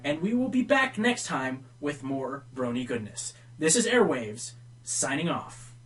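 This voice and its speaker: A man's voice: a little high, sing-song, unhurried, a bit bright, slightly rough, heavily acted. A man playing a wry, easily exasperated pony radio host in a radio play. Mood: wry, presenting. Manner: wry, dry teasing. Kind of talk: radio play acting as presenter.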